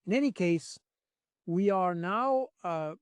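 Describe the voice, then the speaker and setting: Man from Switzerland, interview guest, slow delivery, slightly high pitch, spontaneous analysis. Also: expressive, a crowd in the background. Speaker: interview guest, former military intelligence analyst.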